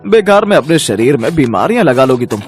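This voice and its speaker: A man playing an exasperated, scolding man in an animated moral story, reproachful and mocking in manner. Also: mid-range voice, fairly quick, faint music behind, natural voice. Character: exasperated, scolding men around a greedy teacher.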